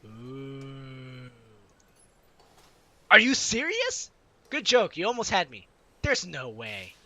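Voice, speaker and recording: A man's voice: mid-range voice, wildly swooping pitch, takes it slowly, bright, forceful tone, dry recording. A man hosting a let's play, reading a visual novel aloud with casual asides and a giggly manner. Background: game music.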